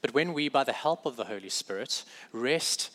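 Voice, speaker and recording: Bright-voiced South African preacher. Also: male voice, mid-range voice, animated, moderate pace. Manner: conversational, self-correcting, with light humorous asides. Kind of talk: sermon.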